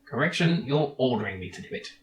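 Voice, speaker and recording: A man's voice: mid-range voice, animated, quick pace, reverberant, a crowd in the background, natural voice. A man voicing a cocky little robot sidekick from an adventure game, sarcastic, pedantic and dismissive. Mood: huffy, smug.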